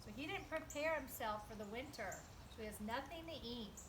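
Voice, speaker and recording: A woman reading a picture book aloud to children in an animated, teacherly way, with emphatic delivery and explanatory asides. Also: a little high, fairly quick, background noise. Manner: animated, emphatic, teacherly, asks listeners questions.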